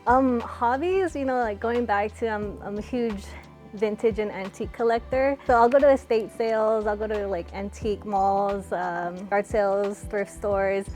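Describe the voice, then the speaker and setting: A young woman from California answering interview questions in a relaxed, chatty way, with lots of fillers. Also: mid-range voice, normal speed, faint music behind. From California, USA. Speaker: interviewee, fighter.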